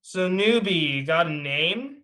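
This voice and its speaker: A man's voice: on the high side, lively intonation, measured pace, pushed and bright. A man playing a chatty, teasing young superhero in an amateur table read, with casual delivery. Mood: mocking.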